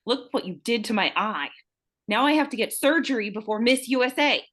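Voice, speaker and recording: A woman's voice: on the high side, expressive, a little fast, a bit bright. A vain, vengeful pageant killer, acted by a woman at a script table read. She gloats and taunts like someone unhinged, swearing freely. The delivery is theatrical horror-drama. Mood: menacing taunts. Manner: taunting, profane, theatrical outbursts. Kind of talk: live screenplay table read.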